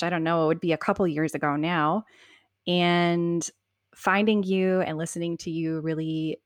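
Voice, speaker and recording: A woman hosts her own podcast, reading a bright scripted introduction before easing into relaxed, chatty conversation. Her voice sits a little low, and the recording is dry and close with no room sound. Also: no room sound. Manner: warm gushing intro read, then chatty and relaxed. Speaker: podcast host. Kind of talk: scripted intro then casual interview. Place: home, video call.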